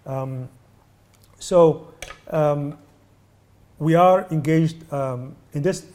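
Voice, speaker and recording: A man from Sudan, a UNICEF representative, answering press questions in a measured way with many hesitations. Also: a little high, a little mellow. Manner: measured, many ums and uhs, restarts. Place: indoor venue.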